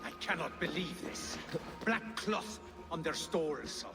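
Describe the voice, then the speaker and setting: Exasperated male enforcer, high-pitched, scolding, game dialogue under loud music. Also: expressive, slow, creaky, exaggerated voice. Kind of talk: video game character acting.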